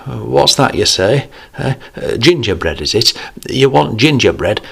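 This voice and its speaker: A man's voice: mid-range voice, expressive, measured pace, gravelly, a bit bright, slightly rough, voice pushed up, heavily acted. A man voicing a jovial, preachy older man, raising his voice above his narration. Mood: coaxing. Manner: pleading, then cheery and coaxing.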